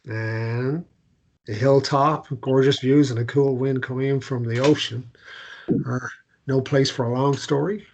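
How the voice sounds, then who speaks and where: A man playing an awkward, gently joking American man in love in a naturalistic romance drama read, hesitant and stumbling over his words. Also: mid-range voice, steady tone, measured pace, muffled recording. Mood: tender, tentative.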